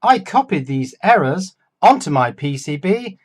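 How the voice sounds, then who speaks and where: A man's voice: fairly high pitch, big pitch swings, unhurried, husky. A man presenting a hands-on electronics demonstration in a casual, wry, understated way with dry humour.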